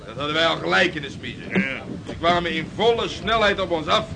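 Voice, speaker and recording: A man's voice: a little high, fairly quick, creaky. A man in a radio play acting a boastful sailor who tells rousing tales. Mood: boastful, excited. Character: boastful sailor spinning a rousing sea-battle yarn. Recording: crowd of voices behind.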